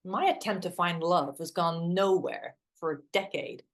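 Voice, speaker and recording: An actress playing an awkward, literal-minded young woman in a play reading, a hopeless romantic with a hesitant, wry deadpan manner. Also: fairly low pitch, measured pace. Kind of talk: two-hander stage play reading.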